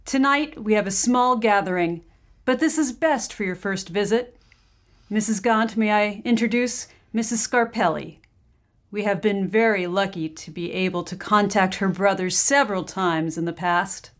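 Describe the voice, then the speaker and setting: An actress playing a gracious, solemn seance medium in an old-time radio drama, speaking formally and deliberately. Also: female voice, medium pitch, natural voice. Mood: gracious, welcoming.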